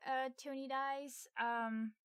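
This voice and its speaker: Higher-pitched woman chatting casually about films. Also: fairly even intonation, slow, a bit bright, clean tone, dry recording, clean studio sound. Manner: informal, agreeable, frequent filler "like". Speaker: podcast co-host. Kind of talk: casual film discussion.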